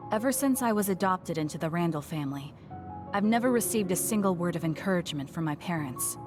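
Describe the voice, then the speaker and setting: An actress playing a blunt, socially awkward young woman soldier in a video game, speaking in a measured, earnest, matter-of-fact way. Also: fairly low pitch, expressive, music in the background, natural voice. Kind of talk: English video game voice acting.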